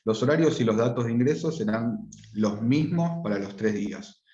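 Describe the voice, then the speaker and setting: A man's voice: mid-range voice, lively intonation, moderate pace, mellow, echoey room, lo-fi sound. A man with an Argentine accent starting an online class in an orderly, formal way. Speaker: course instructor.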